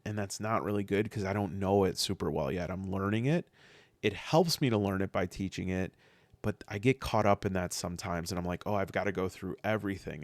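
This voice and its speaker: A man with a head cold, sniffling now and then, who reviews tabletop game rules in a casual, earnest way, thinking aloud with halting restarts. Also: fairly low pitch, no echo. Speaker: solo tabletop game player and podcaster.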